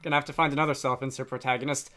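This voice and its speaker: A man's voice: medium pitch, flat delivery, racing, pushed and bright. A man giving casual gameplay commentary with dry, wry asides. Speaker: let's player. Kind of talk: casual gameplay commentary with read-aloud dialogue.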